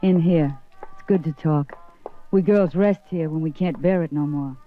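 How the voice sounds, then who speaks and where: An actress in 1950s radio drama playing a world-weary, flirtatious taxi dancer who teases and turns bitter. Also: female voice, sits low, moderate pace, lightly acted. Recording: dull old radio broadcast, music behind.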